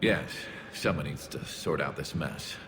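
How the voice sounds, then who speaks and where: A man playing a wary, weary alien refugee leader in a video game, speaking in a grave, measured and formal way. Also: a bass voice, noisy background. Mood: wary, frustrated. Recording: music behind the voice.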